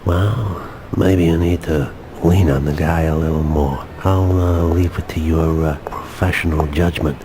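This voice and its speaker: A gruff senior figure in a crime game. The voice is very deep, halting and understated, with clear noise behind it. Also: male voice, fairly even intonation. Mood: measured. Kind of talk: video game cutscene acting.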